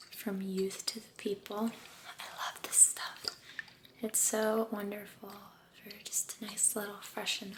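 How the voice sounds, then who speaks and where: A soft-spoken woman doing an ASMR spa role-play as an aesthetician, breathy and often whispering, gentle, soothing and encouraging. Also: medium pitch, background noise. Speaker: ASMR creator playing a med spa aesthetician.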